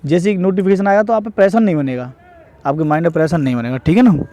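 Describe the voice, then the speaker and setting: A man's voice: on the high side, quick pace, mellow. A young man from northern India giving casual exam advice to viewers, explaining repetitively and often checking that they follow. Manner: repetitive, explanatory, often adds tag questions seeking agreement. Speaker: exam preparation advice vlogger.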